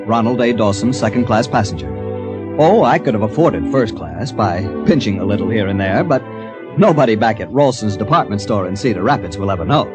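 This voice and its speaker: An actor playing a timid, eager-to-please American clerk on holiday in an old-time radio drama, fussy and hesitant. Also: male voice, lowish voice, lightly acted.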